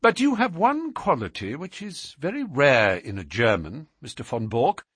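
A man performs a self-assured gentleman detective from a classic mystery story. He speaks with courteous irony and precise phrasing, in a lively, swooping pitch with a slightly husky edge and very little room sound. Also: normal speed, no room sound, studio quality. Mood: wry, courteous. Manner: courteous, ironic, precise, unruffled.

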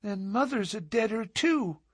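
A small girl of five, earnest and innocent, speaking in a hushed, serious lilt with a husky edge, as a man reading a story puts her on. She sounds far higher than an adult, in a dry close recording. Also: animated, unhurried, dry recording, full character voice. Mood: stricken. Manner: soft, hushed, grave childish lilt.

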